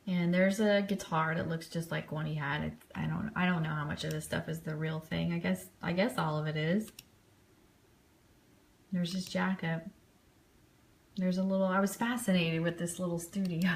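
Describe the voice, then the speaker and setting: A woman's voice: on the low side, fairly even intonation, moderate pace, vocal fry, a little hiss or hum. A woman giving casual live commentary on trip photos as host of an online fan show, chatty and enthusiastic.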